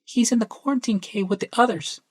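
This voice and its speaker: Little boy for an audiobook, high shrill voice, solemn and earnest, dry sound. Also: fairly quick, no room sound. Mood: matter-of-fact. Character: small determined boy, frightened but solemn.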